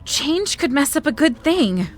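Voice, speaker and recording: An actress playing a distraught, dramatic young woman in an audio drama, with a halting, whiny delivery. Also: sits high, big pitch swings, a little hiss or hum. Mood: anxious. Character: distraught, melodramatic young woman panicking over a proposal.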